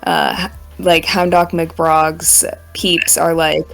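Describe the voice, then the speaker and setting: A woman's voice: low-pitched, level tone, takes it slowly, vocal fry, fairly bright tone, soft background music, rough recording. A woman co-hosting a podcast, giving her opinions in a casual group chat. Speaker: podcast co-host.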